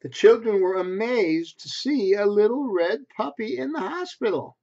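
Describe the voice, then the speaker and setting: A man's voice: high voice, normal speed, vocal fry, slight background noise. A man in his sixties reading a picture book aloud to preschoolers, expressively and in simple phrases with little asides. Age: 60s. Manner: expressive, simple phrasing aimed at young children. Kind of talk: read-aloud children's storytelling with asides. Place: small bedroom at home, indoors. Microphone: computer webcam at desk distance. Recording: dull lo-fi webcam sound.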